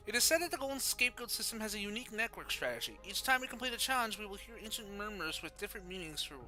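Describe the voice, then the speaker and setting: A man streaming a video game, talking casually and reading aloud in an animated, playful way. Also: high voice. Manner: animated, playful, sound-effect exclamations. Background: faint game music.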